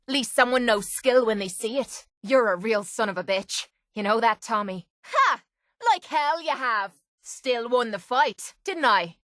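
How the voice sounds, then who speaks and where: A woman's voice: a little high, a bit bright, no room sound, clean studio sound. A woman voicing a tough, sarcastic young Irish mercenary in a video game, with blunt, cocky, slangy delivery. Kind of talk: video game companion voice lines.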